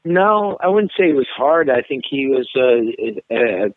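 A man's voice: mid-range voice, very animated, measured pace, no room sound. A middle-aged man, a singer, talks casually in an interview, hesitating and restarting often. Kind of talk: casual interview over a phone line. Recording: dry tinny phone line.

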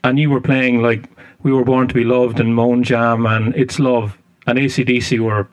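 A man's voice: medium pitch, level tone, moderate pace, no room sound, poor audio. A man with an Irish accent interviewing a musician on the radio, asking long, rambling questions with plenty of fillers. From Ireland. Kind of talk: casual interview chat.

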